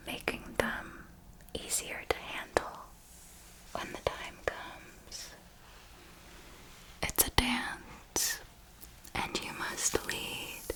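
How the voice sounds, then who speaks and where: A woman voicing an ancient, seductive and predatory vampire mentor in a whispered, deliberate ASMR roleplay, doting yet menacing. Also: medium pitch, darkish tone, breathy edge, exaggerated voice.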